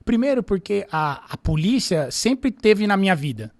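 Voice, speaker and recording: A man in his thirties with a São Paulo accent, a police captain calmly answering interview questions about his career. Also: high voice. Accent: Paulistano Brazilian Portuguese. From São Paulo, Brazil. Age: 30s. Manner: calm, measured, storytelling. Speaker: military police captain, guest.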